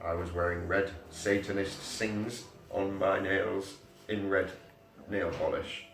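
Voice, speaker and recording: A man reads amateur fan fiction aloud in a slow, mock-serious delivery that can tip into giggles. His voice sits fairly low, and it is picked up from a distance in an echoey room. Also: flat delivery, soft background music. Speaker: reader.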